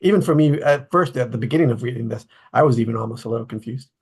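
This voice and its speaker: Slightly husky man hosting a casual chat. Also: medium pitch, fast, a little mellow. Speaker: host of a table read discussion. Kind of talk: casual online group chat.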